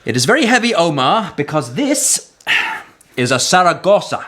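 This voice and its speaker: An actor playing a captured European knight in a historical radio drama, a weary, wry mentor who talks in a musing, exasperated way. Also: male voice, on the high side, very animated, moderate pace, sharp tone, heavily acted. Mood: earnest, wry.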